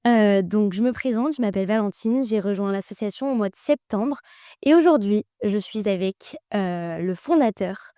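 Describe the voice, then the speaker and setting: A young woman hosts a casual podcast chat and asks her guests questions. Her voice is smooth and mellow. The recording is dry and close. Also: mid-range voice, normal speed, no room sound. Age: young adult. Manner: friendly, asks questions, uses fillers. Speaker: host.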